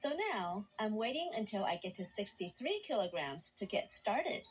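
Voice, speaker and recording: A woman's voice: mid-range voice, big pitch swings, moderate pace, over loud music, natural voice. A woman playing a dieting sister in a scripted radio joke skit, on shortwave. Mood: matter-of-fact. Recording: dull shortwave radio broadcast.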